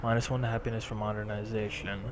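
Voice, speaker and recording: A man's voice: on the low side, little pitch movement, quick pace, very noisy. A young man hosting a gaming channel, casually thinking aloud as he plays. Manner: musing, thinking aloud while clicking. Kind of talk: casual gameplay commentary. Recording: game music behind.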